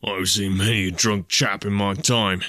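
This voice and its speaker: A man's voice: fairly low pitch, fairly even intonation, normal speed, bright, forceful tone, no echo, studio quality. A man voicing a simple, wary police constable from a storybook, grumbling in uneducated dialect phrasing. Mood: amused.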